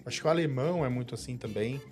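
A man from Brazil co-hosting a casual podcast chat, telling lively stories and acting out the people in them. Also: medium pitch, rapid-fire, faint music behind. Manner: animated storyteller, acts out quoted voices. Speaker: podcast co-host.

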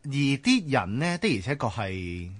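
A man's voice: medium pitch, sing-song, unhurried. A young man with a Hong Kong Cantonese accent co-hosting a casual radio discussion. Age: young adult. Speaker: radio talk show co-host.

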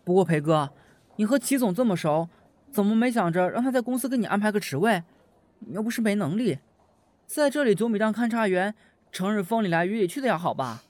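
A man's voice: sits high, lively intonation, brisk, darkish tone, no echo. A man playing a chatty, playful young office worker in an audio drama, teasing and eager. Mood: eager, insistent.

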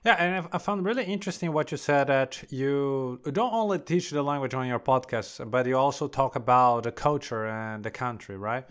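A man's voice: medium pitch, normal speed, few pauses, a bit bright, smooth. Agreeable man hosting an interview. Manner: agreeable, asks questions, says totally often. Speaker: podcast host. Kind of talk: conversational interview.

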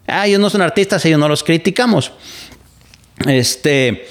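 A Mexican man from Mexico hosting a podcast, talking through his opinions in a loose, rambling way with many fillers and restarts. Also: mid-range voice. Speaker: podcast host. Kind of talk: casual opinion monologue. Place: living in the United States.

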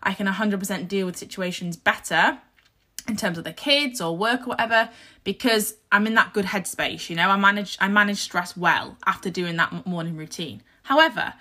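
A woman in her thirties hosts her own podcast while nursing a sore throat, so her voice comes out croaky and husky. Her tone stays bright and forward. She talks in an earnest, reflective, motivational way. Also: medium pitch, brisk. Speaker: podcast host. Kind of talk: casual solo podcast talk.

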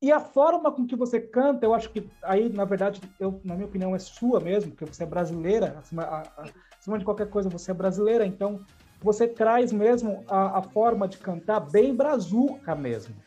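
A woman's voice: mid-range voice, lively intonation, brisk, warm tone, husky, dull audio, soft background music. A Brazilian woman in her thirties hosting a casual interview, effusive and often repeating words as she searches for them. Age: 30s. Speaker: podcast host.

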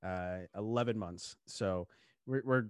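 A man's voice: lowish voice, unhurried, no echo. Young male podcast host, loose filler-heavy chat, dry mic. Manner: rambling, filler-heavy, laughs often. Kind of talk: casual chat.

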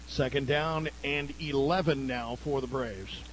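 A man's voice: mid-range voice, fairly even intonation, measured pace, a bit bright, a little hiss or hum, poor audio. A man doing live play-by-play commentary of a football game for radio. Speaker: play-by-play announcer.

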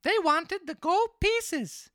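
A woman voicing a naive, excitable wooden marionette boy with an earnest, childlike delivery in a storybook reading. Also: very high-pitched, slow, no echo, studio quality, voice pushed up, exaggerated voice. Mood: aggrieved, breathless.

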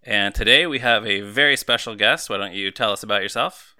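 A man's voice: fairly low pitch, a little fast, sharp tone, clean tone. A man in his mid-thirties hosting a casual movie podcast. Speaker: podcast host.

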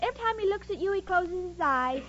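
A woman's voice: very high-pitched, lively intonation, fast talker. An actress playing a sweet, naive, dim-witted young woman with earnest, guileless delivery in a 1940s radio comedy. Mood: sweetly naive. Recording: muffled noisy old radio recording, studio audience.

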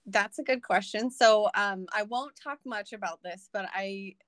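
A woman's voice: mid-range voice, a little slow, fairly bright tone, no room sound. A young adult woman, a podcast guest, talking earnestly in a casual conversation, with many ums and restarts.

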